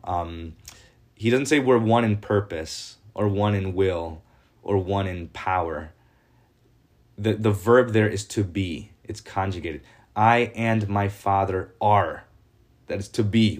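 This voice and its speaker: A man teaching from the Bible as a pastor, talking informally with rhetorical questions and frequent hesitations. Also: on the low side. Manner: conversational, asks rhetorical questions, many hesitations.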